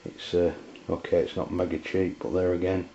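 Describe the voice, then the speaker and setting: A man with an English accent and a very low voice talks through a piece of kit as a casual reviewer. His delivery is flat, halting and matter-of-fact. The sound is dull and lo-fi. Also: a little hiss or hum. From England. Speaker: amateur radio reviewer. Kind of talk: casual hands-on product review.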